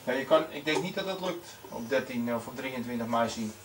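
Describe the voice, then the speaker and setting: A man's voice: mid-range voice, expressive, a little fast. A man chats casually about technical things as a radio amateur. He speaks hesitantly and repeats himself. He sounds a little away from the microphone, with faint noise. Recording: slightly noisy, distant microphone.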